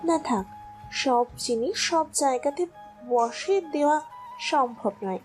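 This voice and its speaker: A high-voiced female boss, played by a woman in a romantic audio drama. She is stern and commanding yet coyly seductive, speaking over faint music. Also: animated, slow, darkish tone. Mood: coy, inviting. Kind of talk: audio love-story acting. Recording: music bed behind the voice.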